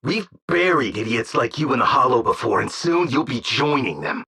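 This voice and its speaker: A gruff bandit thug for a video game. He is belligerent and crude, with a hard, forceful, husky voice that jumps around in pitch. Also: very animated, moderate pace, exaggerated voice. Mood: menacing. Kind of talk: dramatic video game voice acting.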